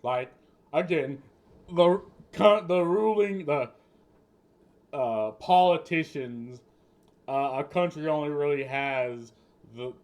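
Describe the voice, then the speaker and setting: Male streamer, unhurried and rambling, profane off-the-cuff commentary. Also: on the high side. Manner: rambling, swears often, restarts mid-sentence. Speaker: streamer reacting to news clips. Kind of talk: casual political commentary.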